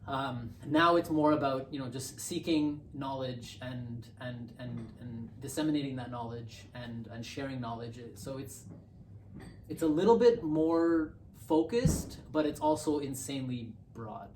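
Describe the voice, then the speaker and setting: A young man from Bangladesh, raised in Canada, talking as a podcast guest in a thoughtful, halting way and repeating words while he thinks. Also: medium pitch, darkish tone, far from the mic. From born in Bangladesh, raised in Canada. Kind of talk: casual conversational interview. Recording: roomy, off-mic, faint hiss.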